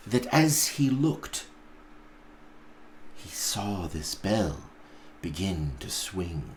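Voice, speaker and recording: Slow-paced man reading a story dramatically. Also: lowish voice, a little hiss or hum. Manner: deliberate, theatrical phrasing with pauses. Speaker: pastor reading a story aloud.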